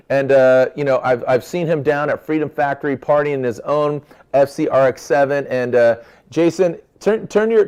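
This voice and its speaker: A man's voice: fairly high pitch, a little mellow. A man hosting a virtual awards show, chatting loosely with slangy, hyped banter.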